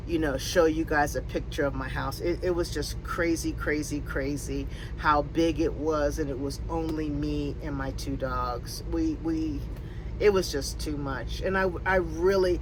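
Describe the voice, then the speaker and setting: A woman's voice: low-pitched, background noise. A woman in her fifties from Connecticut, casually answering viewer questions on her van-life vlog, rambling with many fillers and restarts. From Connecticut, USA. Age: 50s. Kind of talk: casual Q&A chat. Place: in or near her RV.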